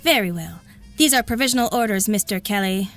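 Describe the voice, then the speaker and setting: An actress playing a stern, composed spy-network dispatcher in a 1930s-style radio drama, speaking in a clipped, formal, dry way. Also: female voice, medium pitch, expressive, brisk, faint music behind, close to an everyday voice. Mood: commanding.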